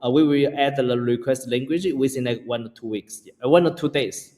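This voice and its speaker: A man's voice: medium pitch, a little mellow. Male founder, English, stop-start answers, reverberant room. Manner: halting, repeats words, many fillers. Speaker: company founder, guest. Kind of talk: casual interview answers.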